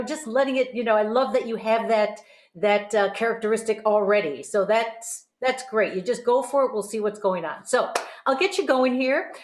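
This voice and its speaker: Lady voice teacher, encouraging, explains stuff, kinda high voice, roomy echo. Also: a little mellow. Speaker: host and voice teacher. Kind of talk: conversational vocal coaching. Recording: roomy, echoing sound.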